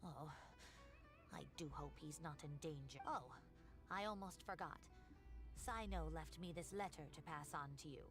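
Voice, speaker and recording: A woman voicing a kindly elderly scholar in a video game, chatty and genteel, with expressive, fretful concern. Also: deep voice, normal speed. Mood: worried. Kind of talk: video game character acting. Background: game music.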